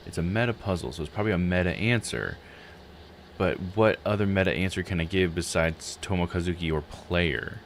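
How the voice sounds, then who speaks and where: A man's voice: sits low, a little fast, vocal fry, slight background noise. A man giving casual live game commentary, thinking aloud in a musing, self-questioning way.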